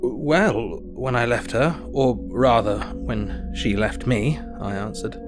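An actor playing an earnest, anxious asylum doctor, narrating his diary in measured, literary audio drama style. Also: medium pitch, music in the background, lightly acted. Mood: sober.

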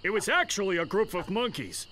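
An actor playing a sarcastic, talkative small-time pirate in a video game, wry and theatrical. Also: male voice, sits high, sing-song, fairly quick, noisy background. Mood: matter-of-fact.

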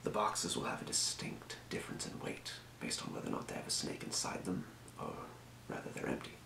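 A man voicing a helpful fantasy potion shopkeeper in an ASMR role play, murmuring in an unhurried, breathy whisper. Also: echoey room, noisy background, lightly acted. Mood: calm explaining. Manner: murmuring, unhurried, muttering to himself. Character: helpful old-world fantasy potion shopkeeper, quietly businesslike. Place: small room, indoors at a desk.

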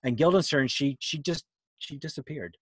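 A man's voice: fairly high pitch, normal speed, breathy edge, dry recording. Middle-aged man presenting, playful and dry-sounding. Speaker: presenter, youth theatre director. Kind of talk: informal webinar presentation. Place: small room indoors, video call.

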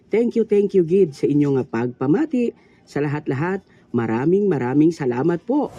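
A man's voice: fairly high pitch, warm tone, no echo. A man with a Filipino accent, hosting and announcing for an audio drama programme in radio style. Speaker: channel host and announcer.

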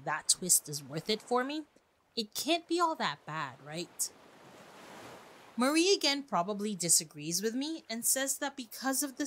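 A woman's voice: mid-range voice, a bit bright, poor audio. A woman hosting a podcast, reading a prepared script in an informal, conversational way with chatty asides. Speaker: podcast host.

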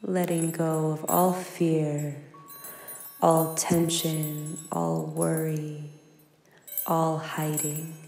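A woman leading a guided meditation in a soft, soothing, unhurried manner with long pauses between phrases. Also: sits low, flat delivery, vocal fry. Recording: long echoing reverb. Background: singing bowls and ambient music.

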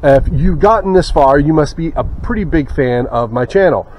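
A man's voice: a little high, expressive, moderate pace, a little mellow, slight background noise. A young man with a Southern US accent, talking casually to the camera while fishing from a small boat, muttering asides and cursing at fish. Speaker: fishing channel host. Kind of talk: casual fishing vlog commentary. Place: small boat on a wooded river outdoors. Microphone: action camera on the boat. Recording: slightly dull outdoor camera audio.

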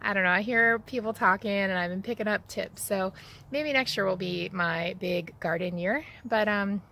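A woman in her thirties, hosting a casual backyard garden tour vlog, talking in a relaxed, rambling way with many ums, recorded on a phone held at arm's length outdoors. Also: mid-range voice, normal speed, gravelly. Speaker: vlog host.

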